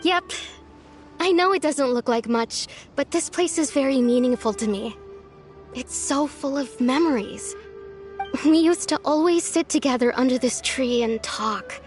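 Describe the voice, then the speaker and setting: Gentle, lonely young villager: soft, earnest and wistful, with a fairly high voice. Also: female voice, unhurried. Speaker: game villager character. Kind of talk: video game voice acting. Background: faint game music.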